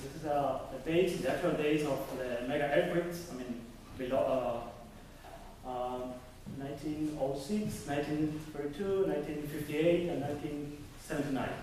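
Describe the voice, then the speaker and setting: A young man presents research at a conference, pausing and restarting as he searches for words. His voice sits a little high for a man. He is recorded from a distance in a very echoey hall, with a faint hiss under it. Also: steady tone, a little mellow. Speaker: conference speaker. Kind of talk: academic presentation.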